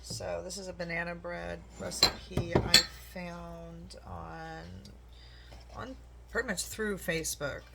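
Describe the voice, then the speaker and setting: A woman in her forties with a quiet voice, chatting casually and meandering while she bakes in her home kitchen, filmed on a phone. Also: lowish voice, fairly even intonation, slow, gravelly, heavy background noise. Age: 40s. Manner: soft-spoken, meandering, self-deprecating. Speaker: home cooking vlogger. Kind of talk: casual rambling while baking.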